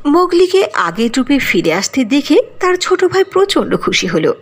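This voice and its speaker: A woman's voice: sits high, big pitch swings, moderate pace. A woman narrating a cartoon fairy tale in a sing-song storytelling manner.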